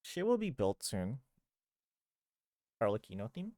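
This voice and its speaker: A man's voice: mid-range voice, very animated, measured pace, darkish tone, dry recording. Man in swooping, close-miked gaming chat. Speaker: game streamer.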